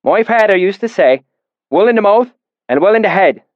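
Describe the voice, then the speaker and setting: A man's voice: high-pitched, lively intonation, moderate pace, dry recording, dull audio, voice pushed up. A man voicing a young man who fakes a folksy rustic dialect in an ingratiating way.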